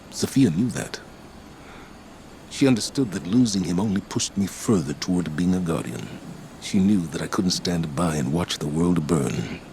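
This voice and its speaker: An actor playing a weary, grieving middle-aged guardian commander in a video game, speaking in a grave, measured, reflective way. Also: male voice, deep voice, a little mellow, a little hiss or hum. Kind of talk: dramatic video game voice acting. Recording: dramatic music behind the voice.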